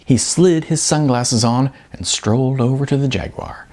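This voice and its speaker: A man's voice: mid-range voice, moderate pace, a little mellow. A man reading his novel aloud as scripted audiobook narration. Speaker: author reading own novel.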